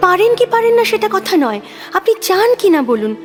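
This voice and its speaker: A woman acting an intense, moody younger sister in a Bengali audio drama, an ardent reader speaking in halting, fervent repetitions. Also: extremely high pitch, very animated, soft background music. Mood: impassioned, possessive. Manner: breathless, halting, repeats words with fervour.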